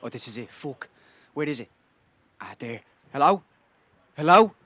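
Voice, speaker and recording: A man's voice: medium pitch, expressive, moderate pace, heavy background noise, lightly acted. An actor playing a desperate, frustrated homeless man in his thirties with a Dublin accent, pleading and swearing in a naturalistic radio play. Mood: anxious. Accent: Dublin Irish. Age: 30s. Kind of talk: naturalistic radio drama acting.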